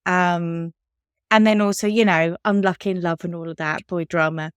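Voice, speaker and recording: A woman in her thirties with an English accent talks candidly on a podcast, laughing now and then. Her voice is smooth and clean. The sound is dry and close. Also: fairly low pitch, fairly even intonation, normal speed, no echo. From Switzerland. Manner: chatty, candid, laughs often. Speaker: podcast co-host. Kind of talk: casual chat.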